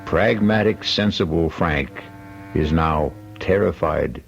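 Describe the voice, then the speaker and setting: A man narrating as the solemn, wry host of an old-time radio mystery, measured and ominous. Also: extremely low pitch, creaky, full character voice. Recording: dull old radio, music behind.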